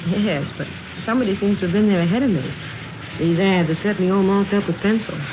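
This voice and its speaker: A woman's voice: medium pitch, quick pace, close to an everyday voice. An actress playing a polite, proud, guarded young woman out of work, in 1940s radio drama style. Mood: guarded, curious. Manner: polite, guarded, gently wry. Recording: muffled, noisy old radio recording.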